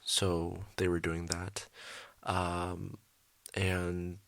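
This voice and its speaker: A young man hosting his art channel, rambling through a late-night voiceover with lots of ums, speaking quietly and a little raspy. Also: deep voice, monotone, drawn-out words, dry recording. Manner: rambling, many ums, trailing off. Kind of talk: casual voiceover over a speed drawing. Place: his bedroom at home, at night.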